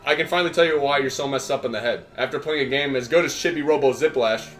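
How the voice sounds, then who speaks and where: A man's voice: medium pitch, fast, close to an everyday voice. A man playing an oddball therapist in a comedy sketch, blunt and self-assured, making absurd declarations in earnest. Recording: loud music behind the voice. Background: loud music.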